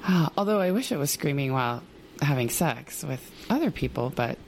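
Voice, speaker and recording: A woman co-hosting a podcast in casual chat, hoarse and chatty, laughing often. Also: sits low, sing-song, normal speed, slight background noise. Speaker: podcast co-host.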